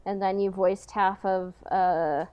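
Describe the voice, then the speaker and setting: A woman's voice: medium pitch, fairly even intonation, takes it slowly, clear, resonant tone, highs cut off. A woman hosting a podcast in casual, joking chat, sprinkling her sentences with "like" and "you know". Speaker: podcast host.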